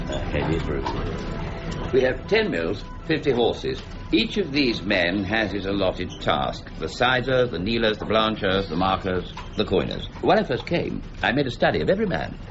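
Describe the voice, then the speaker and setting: A proud, exacting male official in a radio play, with a lowish and very animated voice. He is precise, imperious and easily irritated. The recording is muffled. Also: moderate pace. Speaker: actor in lead role. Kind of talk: radio drama acting. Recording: dull lo-fi radio recording, music behind.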